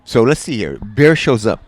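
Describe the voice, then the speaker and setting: Teasing man with a lively pitch. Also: dry recording. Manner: teasing, riffing with jokes and quick questions. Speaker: podcast co-host. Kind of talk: casual comedic chat.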